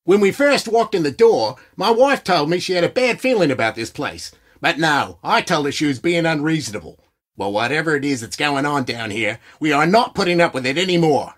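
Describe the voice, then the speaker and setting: A man's voice: fairly high pitch, animated, a little fast, fairly bright tone. A man voicing an indignant, demanding hotel guest in a video game, with a huffy, emphatic manner.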